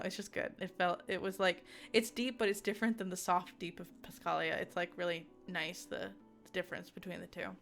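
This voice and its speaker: A young woman giving a casual, excitable game review, giggling often and rambling with fillers and restarts. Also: medium pitch.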